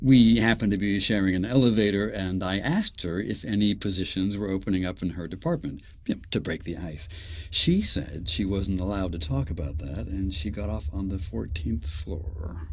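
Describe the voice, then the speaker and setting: Cautious older scientist, lively low-pitched delivery. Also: male voice, gravelly, no echo, exaggerated voice. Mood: awkward, hesitant. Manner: measured, hesitant, understated. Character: older man, cautious senior research scientist nearing retirement. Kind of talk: naturalistic audio drama acting.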